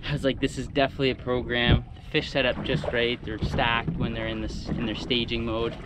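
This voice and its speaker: A young man filming himself fishing from an open boat on a lake, talking in an excited, casual way and laughing as he fights fish. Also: medium pitch, fairly quick, very noisy. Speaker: angler filming his own fishing trips. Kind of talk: casual on-the-spot commentary. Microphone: action camera mounted on the boat.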